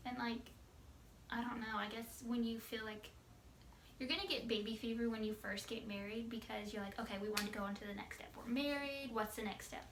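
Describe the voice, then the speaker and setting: A woman's voice: on the high side, fairly even intonation, a little fast. A woman in her twenties chatting informally as a wife answering questions alongside her husband. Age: 20s. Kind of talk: casual couple Q&A chat. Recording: echoey room, distant mic, background noise.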